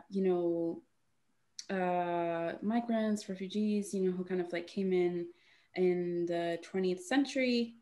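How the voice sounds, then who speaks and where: A young woman from Honduras speaks on a video call, her voice low and level with little rise and fall. She talks haltingly, circling back with fillers and restarts as she thinks aloud. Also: a little mellow. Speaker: guest artist. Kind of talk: casual webinar talk.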